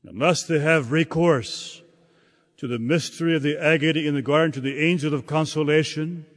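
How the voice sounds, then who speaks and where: A man preaching a sermon in a slow, halting delivery, often repeating words as he searches for the next phrase. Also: on the high side, fairly even intonation. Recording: long hall reverb.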